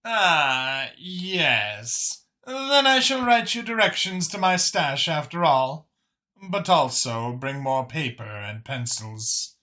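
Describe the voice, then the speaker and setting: A man voicing an aloof alien art thief and forger in an audio story, speaking in a deliberate, haughty way. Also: a little high, big pitch swings, bright, forceful tone, exaggerated voice. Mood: resigned.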